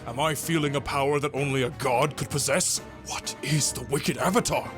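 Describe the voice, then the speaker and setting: A man's voice: mid-range voice, fairly even intonation, moderate pace, music in the background, natural voice. A man voicing an earnest teenage boy card duelist, exclamatory and dramatic in a manga style. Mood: awed, shocked. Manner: exclamatory, intense, theatrical.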